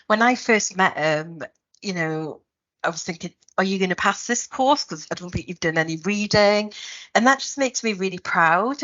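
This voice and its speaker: A woman's voice: mid-range voice, a bit bright, muffled recording. Geordie woman, thoughtful academic leader. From Newcastle, north of England. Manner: thoughtful, frequent ums and restarts. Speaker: head of a university school, guest. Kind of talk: reflective interview answers.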